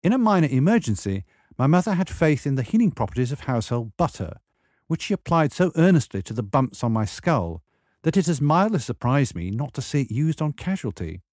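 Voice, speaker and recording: A man's voice: medium pitch, sing-song, a little mellow, no room sound. Man narrating a memoir, dry-sounding recording. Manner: wry, measured storytelling. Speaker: memoir reader.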